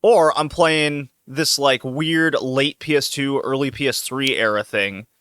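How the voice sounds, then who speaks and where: A man hosting a casual podcast with a bright, forceful voice, laughing easily through loose chat. The sound is dry and close, with no room around it. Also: mid-range voice, unhurried, clear, resonant tone, no echo. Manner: loose, joking, laughs often. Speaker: podcast host.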